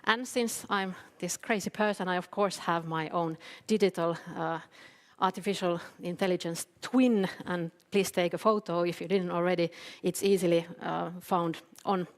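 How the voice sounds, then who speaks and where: A woman from Finland giving a conference talk, hesitant, with many fillers and restarts. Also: on the low side. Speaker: keynote speaker. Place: event hall. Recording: long hall reverb.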